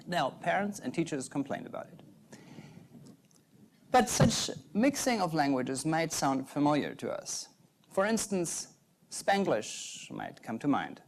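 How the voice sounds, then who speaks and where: A young adult man, a native German speaker, giving an earnest prepared talk in measured phrases. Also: high voice, vocal fry, slight background noise. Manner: earnest, measured, pauses between short phrases. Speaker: doctoral researcher giving a talk.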